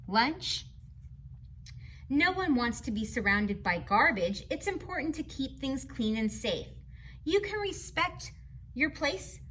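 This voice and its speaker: High-pitched, bright woman teaching young children. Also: a little hiss or hum. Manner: instructive, simple child-directed phrasing, asks questions. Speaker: teacher reading a picture book aloud to children. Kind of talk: children's read-aloud lesson with explanations and questions.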